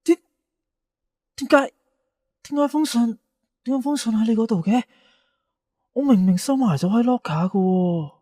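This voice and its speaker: Woman playing a shy, higher-voiced teenage schoolboy, Hong Kong Cantonese. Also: moderate pace, pauses often, dark tone, dry recording, clean studio sound, lightly acted. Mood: flustered. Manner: stammering, hesitant when flustered. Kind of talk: audio drama acting.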